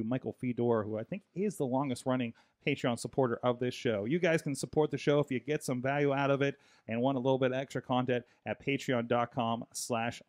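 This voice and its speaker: A man's voice: mid-range voice, no echo. Middle-aged guy hosting a tech podcast, rambly, lots of restarts, dry close mic in a foam booth. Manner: rambling, halting, with many restarts. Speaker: podcast host. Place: small studio with acoustic foam. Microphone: large studio mic, headphones.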